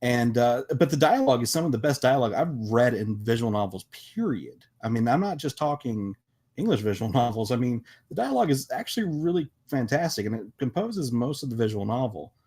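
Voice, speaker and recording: Halting, emphatic man in podcast discussion. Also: medium pitch, sing-song, a little mellow. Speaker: podcast panelist and visual novel developer.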